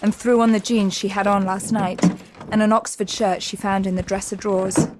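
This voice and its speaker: A woman's voice: mid-range voice, flat delivery, moderate pace, very noisy. A woman giving scripted third-person narration for an audio drama. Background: music.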